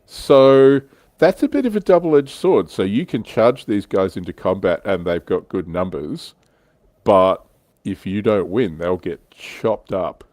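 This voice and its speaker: A man's voice: on the low side, animated, a little slow, darkish tone, dry recording. A man hosting a casual hobby panel chat. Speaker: livestream host presenting slides.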